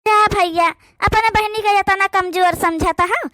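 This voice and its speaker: A woman's voice: very high-pitched, fairly even intonation, moderate pace, no room sound, studio quality. A woman voicing a sad overworked young sister. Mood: reassuring. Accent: Awadhi. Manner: plaintive, complaining softly. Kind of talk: cartoon voice acting.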